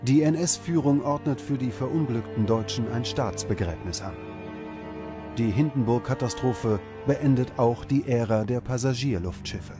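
A man reads a scripted documentary narration at a mid pitch. His delivery is measured and dramatic, and music plays clearly behind him.

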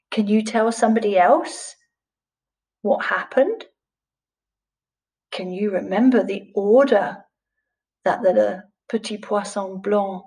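A woman in her forties teaching young children through a picture book, speaking in a carefully paced, expressive way. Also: medium pitch, recorded from a distance, poor audio. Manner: slow, clearly paced, with expressive questioning intonation. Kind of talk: storytime lesson for young children. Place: small plain room indoors.

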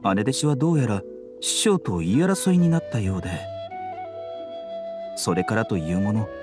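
An actor playing a composed, courteous young male physician in an anime game, polite, measured and gently enigmatic. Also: lowish voice, sing-song, music in the background. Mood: reflective, reserved. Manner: polite, measured, softly teasing.

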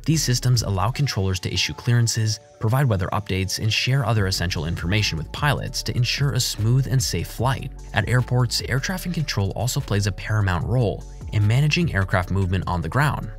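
A man narrates a scripted explainer in a fairly low voice. His delivery is measured and formal, in the manner of a documentary narrator. Faint music plays behind him. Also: expressive. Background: background music.

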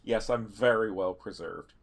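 A man's voice: fairly low pitch, a little slow, gravelly. Hesitant man improvising in character. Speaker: tabletop roleplaying player.

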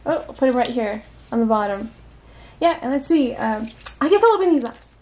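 High-pitched, giggly woman vlogger. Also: animated, a little fast, a little mellow. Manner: giggly, exclaims often, talks to herself. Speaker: unboxing vlogger. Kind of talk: casual unboxing chat. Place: indoors at a tabletop.

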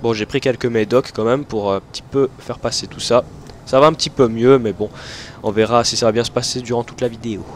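A man's voice: medium pitch, fairly even intonation, fast. Young male gameplay host, stuffy-nosed, rambling and casual, faint game noise under the voice. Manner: chatty, rambling, many fillers and self-corrections. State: stuffy nose from hay fever. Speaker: gaming video host.

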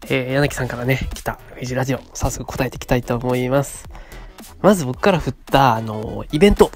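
A man's voice: medium pitch, brisk, soft background music. A man around thirty, co-hosting a casual radio show in a solo monologue. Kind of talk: casual monologue replying to a co-host.

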